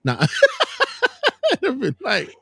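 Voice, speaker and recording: A man hosting a casual wrestling news podcast, talking in an animated, joking way. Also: very high-pitched, very slow, gravelly, slightly rough, no echo, studio quality. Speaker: podcast host.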